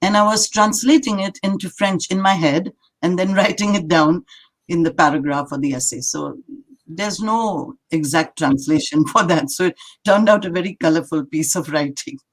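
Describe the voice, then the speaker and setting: An older woman from India with an Indian accent, a native Marathi and English speaker, telling stories as an interviewed poet in a thoughtful, halting, lighthearted way. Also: on the low side, low-quality recording. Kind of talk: reflective conversational storytelling.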